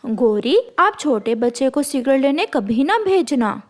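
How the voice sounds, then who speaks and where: A woman's voice: high voice, very animated, measured pace. A woman voicing a stern, principled bird shopkeeper aunty in a cartoon.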